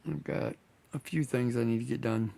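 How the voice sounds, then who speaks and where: A man, hosting his model-building channel in a relaxed, rambling way, slightly hoarse as he is losing his voice. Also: mid-range voice, normal speed. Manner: relaxed, rambling, many fillers. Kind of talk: casual chat to viewers.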